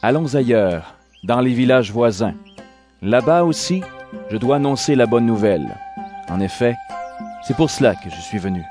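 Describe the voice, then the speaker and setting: A solemn, authoritative holy man voiced by an actor in an audio Bible drama. He speaks firmly in a mid-range male voice, with music clearly playing behind him. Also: medium pitch, animated, normal speed, pauses often, darkish tone, lightly acted. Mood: resolute. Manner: firm, solemn, commanding. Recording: voice over clear background music.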